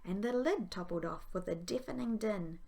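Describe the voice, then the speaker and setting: A woman's voice: medium pitch, moderate pace, creaky, dark tone. A woman, a primary school teacher, reading a children's story aloud expressively.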